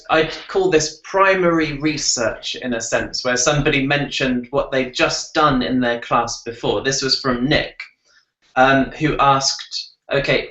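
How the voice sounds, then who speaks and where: A man hosting an academic panel, taking questions in a relaxed, conversational way. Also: medium pitch, roomy sound, highs cut off.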